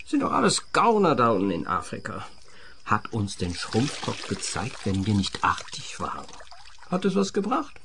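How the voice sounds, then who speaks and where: A man's voice: fairly low pitch, huge pitch swings, normal speed, gravelly, background noise, heavily acted. Bitter drunken man, grumbling. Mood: dismissive, bitter. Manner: grumbling, repetitive ranting. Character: bitter, drunken jobless man railing at crooks. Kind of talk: radio play acting.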